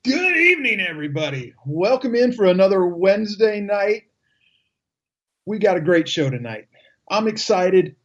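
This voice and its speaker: A man's voice: sits high, sing-song, moderate pace. A man hosting a live radio talk show in a casual, chatty style.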